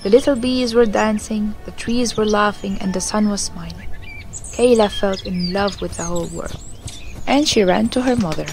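A woman's voice: medium pitch, moderate pace, music in the background. A woman narrator reading a scripted bedtime story for children. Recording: clear background noise with birdsong. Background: birdsong.

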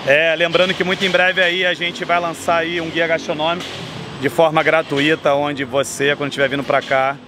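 Upbeat Brazilian man in his 30s, casual vlog host, handheld cam outdoors with loud street noise. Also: fairly high pitch, level tone, fairly quick. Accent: Brazilian Portuguese. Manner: enthusiastic, chatty, lists things off. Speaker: travel vlogger. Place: city sidewalk and café terrace outdoors. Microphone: handheld camera at arm's length.

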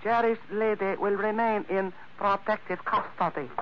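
Man playing a high-voiced, flowery radio henchman. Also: steady tone, moderate pace, natural voice. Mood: smooth, polite menace. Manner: obsequious, ornate broken English. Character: smiling, sly, flowery-spoken Chinese henchman with a gun. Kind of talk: 1940s radio adventure acting. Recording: noisy, narrow vintage radio recording.

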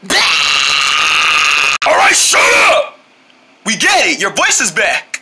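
A woman voicing a cast of paper goat puppets in a homemade outdoor show, with shouting and fake crying. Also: medium pitch, wildly swooping pitch, slow pace, heavy background noise. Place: outdoors on grass at night.

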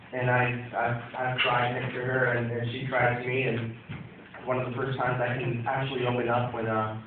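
A young man giving a best man toast at a wedding reception, halting with many fillers and restarts, speaking into a handheld mic in a dim hall. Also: medium pitch, noisy background. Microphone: handheld mic, filmed by a far phone. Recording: tinny phone video from far off, echoey room. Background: crowd of guests.

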